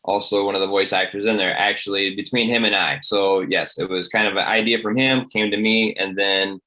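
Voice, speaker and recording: A man with a fairly low, bright and forceful voice talks casually on a video call. He tells anecdotes in the manner of a podcaster. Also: steady tone, brisk, poor audio.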